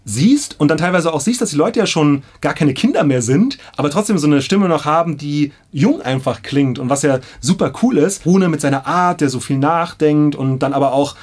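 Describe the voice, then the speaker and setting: A man in his thirties with a fairly high voice chats casually and enthusiastically. He speaks as an audio drama producer in a small indoor studio. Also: lively intonation, moderate pace, few pauses. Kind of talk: enthusiastic casual talk to camera.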